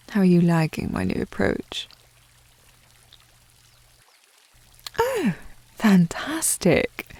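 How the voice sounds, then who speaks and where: A woman's voice: lowish voice, gravelly, a little mellow, dry recording, exaggerated voice. A woman playing a haughty, coy young lady of the house with a British accent, prim and formal, in an intimate historical romance ASMR roleplay. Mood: smug, teasing. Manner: prim, snooty, formal phrasing with coy indirectness.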